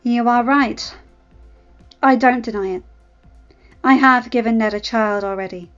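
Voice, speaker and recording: A woman's voice: on the high side, unhurried, a bit bright, smooth, lo-fi sound, soft background music. A woman voicing a proud, defiant young noblewoman who answers her rival with cold, cutting retorts.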